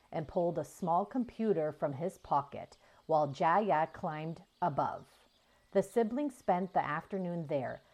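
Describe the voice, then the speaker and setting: A woman reading a children's story aloud in an expressive storytelling style. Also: lowish voice. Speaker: children's audiobook reader.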